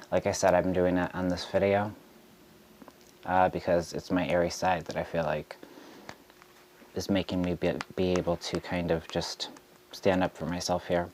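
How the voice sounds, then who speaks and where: A man who reads tarot, speaking straight to camera in a frustrated rant, deliberate and halting with frequent ums. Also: sits low, flat delivery, darkish tone, a little hiss or hum. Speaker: tarot reader.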